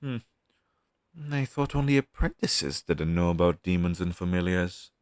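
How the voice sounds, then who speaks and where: A man's voice: deep voice, expressive, no echo, studio quality. A man playing a down-on-his-luck, friendly crow demon, once a witch's familiar, talking hesitantly to the listener in an audio roleplay. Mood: wistful, explaining. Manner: conversational, hesitant, with sighs and pauses.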